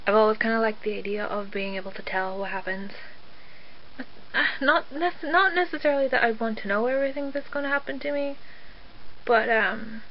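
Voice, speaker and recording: A young woman with a sore throat and a slightly croaky voice, chatting casually in a rambling, hesitant way. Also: a little high, a little fast. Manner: rambling, hesitant delivery with trailing thoughts. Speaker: vlogger. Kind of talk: casual chat to camera. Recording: dull lo-fi audio with faint noise.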